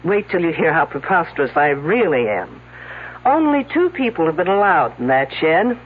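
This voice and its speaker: A woman's voice: deep voice, big pitch swings, moderate pace, slightly rough, exaggerated voice. An actress playing a brash, shrewd woman detective in a radio drama, sardonic and commanding. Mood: mocking, confident. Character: brash, wisecracking female detective, shrewd and sarcastic. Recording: muffled old radio broadcast recording.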